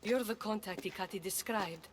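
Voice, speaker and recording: An actress playing a solemn, heartbroken woman in a video game, speaking formally and with measured care. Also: mid-range voice, background noise, lightly acted. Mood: sorrowful, resolute. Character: solemn heartbroken woman mourning her lost soulmate. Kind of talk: dramatic video game acting. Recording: music behind the voice.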